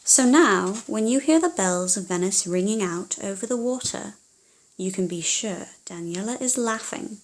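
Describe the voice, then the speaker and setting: A woman narrating a children's storybook in an expressive, dramatic way with hushed passages. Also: mid-range voice, moderate pace, poor audio.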